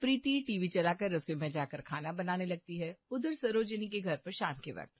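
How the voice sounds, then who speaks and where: A woman's voice: fairly low pitch, expressive, normal speed, no echo, lo-fi sound. A woman narrator reading a scripted cartoon story.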